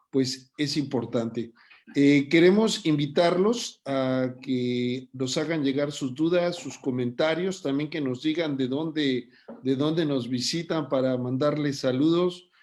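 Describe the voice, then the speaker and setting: A man who hosts and moderates an online lecture, speaking in a measured, deliberate way. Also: medium pitch, darkish tone. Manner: measured, deliberate, pauses with fillers. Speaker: host and moderator.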